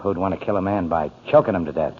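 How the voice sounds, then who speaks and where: An actor playing a gruff, hungover, uneasy waterfront drifter, terse and slangy, in the manner of 1940s radio drama. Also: male voice, sits low, fast. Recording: dull, hissy 1940s radio recording.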